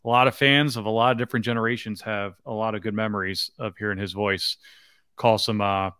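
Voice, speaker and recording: A man's voice: fairly low pitch, steady tone, brisk, sharp tone, clear, resonant tone, no room sound, clean studio sound. A man in his thirties co-hosting a sports podcast, speaking casually into a desk microphone indoors. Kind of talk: casual postgame sports chat.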